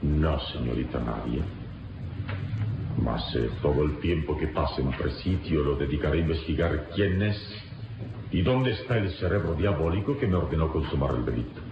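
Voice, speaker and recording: A man's voice: very deep voice, animated, moderate pace, background noise. An actor playing a noble, principled male adventurer in a radionovela, speaking in a solemn, formal, declamatory way. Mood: solemn, resolute. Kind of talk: radionovela acting. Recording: dull echoey radio drama with music behind.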